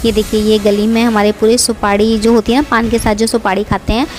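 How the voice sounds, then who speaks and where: A woman's voice: medium pitch, little pitch movement, fairly quick. A middle-aged woman vlogger chatting in a casual, rambling voice-over, often repeating herself. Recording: background music under the voice.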